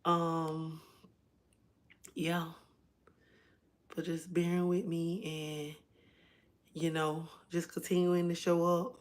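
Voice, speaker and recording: A hoarse woman hosting a casual livestream from home, chatting directly to her viewers. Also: sits low. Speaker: livestream host.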